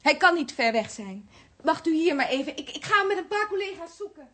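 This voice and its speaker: An actress playing a polite, caring, easily flustered hospital nurse in a radio play, speaking Netherlands Dutch with a helpful manner. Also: female voice, high-pitched, sing-song, brisk, fairly bright tone. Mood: flustered, worried. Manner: courteous, anxious, helpful. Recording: noisy archive radio play recording.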